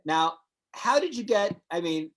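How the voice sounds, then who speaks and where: A man's voice: high voice, animated, a little slow. A man hosting an interview, asking questions in a playful, teasing, mock-serious way. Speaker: host. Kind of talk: casual interview chat.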